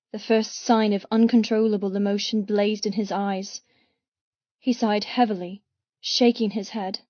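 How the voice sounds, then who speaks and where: A woman's voice: on the high side, dry recording, lo-fi sound. A woman reading scripted, dramatic first-person narration as an audiobook narrator.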